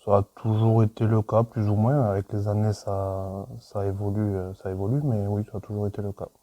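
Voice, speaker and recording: A man's voice: fairly low pitch, little pitch movement, brisk, no room sound, lo-fi sound. A man in his thirties, a mechanic, giving short, hesitant interview answers with many fillers. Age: 30s. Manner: plain, brief, hesitant with fillers.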